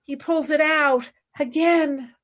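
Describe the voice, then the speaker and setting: Woman acting devout female mystic, high voice, very slow delivery, intense and reverent, formal radio play declamation. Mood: ecstatic, intense. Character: fervent, devout woman philosopher turned ecstatic mystic.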